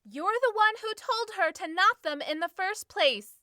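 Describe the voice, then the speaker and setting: A woman's voice: very high-pitched, lively intonation, measured pace, pushed and bright, no echo, clean studio sound, pitched above the performer's own voice, full character voice. A woman voicing an anxious, grumbling teenage brother in a story, earnest and protesting. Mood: defensive, grumbling.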